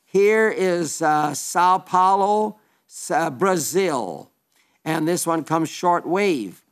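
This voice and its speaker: A man's voice: a little high, lively intonation, very slow, clear, resonant tone. An elderly man preaching a folksy radio sermon, reading listener letters with chatty asides and small stumbles. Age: older.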